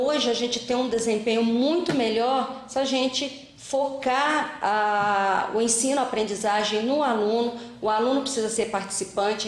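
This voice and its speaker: A middle-aged woman from Minas Gerais, giving a lecture to teachers in a conversational storytelling manner with frequent fillers. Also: a little high. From Minas Gerais, Brazil. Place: lecture hall. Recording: echoey hall.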